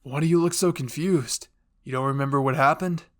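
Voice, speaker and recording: A man's voice: a little high, a little fast, close to an everyday voice. A man playing a skeptical, forthright teenage boy soldier with a direct manner in an anime fan roleplay. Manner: direct, questioning.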